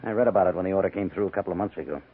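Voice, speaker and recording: An actor playing a wary, wry army major in command of a fort, in 1950s radio western style, with a husky voice. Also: male voice, low voice, rapid-fire. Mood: brisk, skeptical. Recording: tinny 1950s radio broadcast recording.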